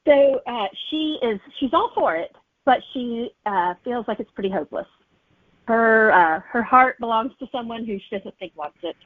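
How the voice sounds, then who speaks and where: A woman's voice: on the high side, animated, moderate pace, no echo. A woman author chatting casually as an interview guest, telling stories about her writing. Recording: tinny narrow-band telephone-like audio.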